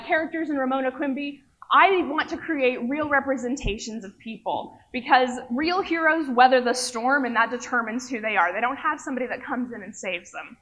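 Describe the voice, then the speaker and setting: Young woman from oklahoma, high voice, dry self-mocking jokes, talking on stage. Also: fairly bright tone, muffled recording. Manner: wry, self-mocking humor. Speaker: speaker at a live event. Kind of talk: rehearsed personal talk. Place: stage before an audience.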